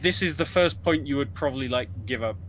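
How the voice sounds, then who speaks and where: A man giving casual, explanatory co-commentary on a video game in a halting, conversational way. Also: medium pitch, heavy background noise. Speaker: co-commentator at a speedrun event. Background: video game music.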